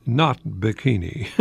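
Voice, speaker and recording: An older American man hosting a folksy solo radio talk in a halting, rambling, easily amused manner. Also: mid-range voice, creaky, slightly rough, dry recording. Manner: chuckles often at his own remarks, halting and rambling. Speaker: radio host, longtime English professor.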